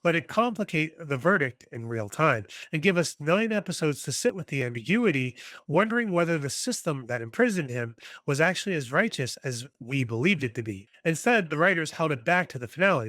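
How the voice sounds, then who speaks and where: Man, slightly high voice, scripted video essay, wry, critical, articulate, dry room-free sound. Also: fairly bright tone, no echo. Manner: wry, self-deprecating humor. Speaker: video essayist, TV episode reviewer.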